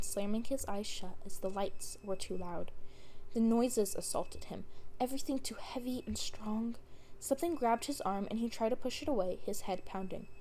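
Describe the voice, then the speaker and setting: Woman, story narrator, soft-edged mellow tone, scripted reading, faint hiss of background noise. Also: mid-range voice, low-quality recording. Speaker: fan fiction podcast reader.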